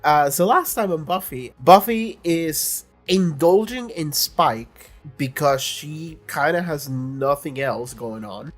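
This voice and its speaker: A man's voice: mid-range voice, fairly bright tone. A young man with a congested voice, hosting a casual reaction video and chatting bluntly, with plenty of swearing and laughter.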